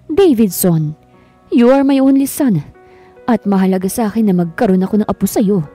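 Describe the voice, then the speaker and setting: A woman's voice: medium pitch, animated, normal speed, mellow, breathy edge, faint music behind. A woman voicing a wealthy older man who insistently orders his son around. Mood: commanding. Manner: authoritative, insistent.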